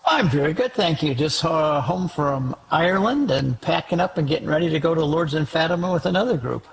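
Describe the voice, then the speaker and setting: A man guest on an interview show, speaking earnestly and slowing down when he makes a point. His voice is fairly high for a man and gravelly with vocal fry. A faint hiss of background noise sits under him. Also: lively intonation, rough recording. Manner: earnest, exhorting, slows down for emphasis. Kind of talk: storytelling in an interview.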